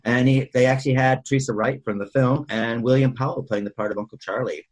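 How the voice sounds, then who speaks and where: Male podcast host, husky mid-pitched voice, casual and chatty film discussion, informative and loose. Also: fairly even intonation, fast.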